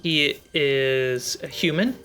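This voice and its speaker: Slow, low-key man as game master. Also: sharp tone. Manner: slow, measured scene description with fillers. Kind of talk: narrating a tabletop game.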